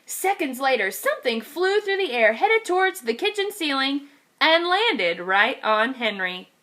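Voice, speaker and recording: Teacher, female, bright higher voice, very animated storybook read-aloud for little kids. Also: a little slow. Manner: lively, expressive reading to young children. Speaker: kindergarten teacher.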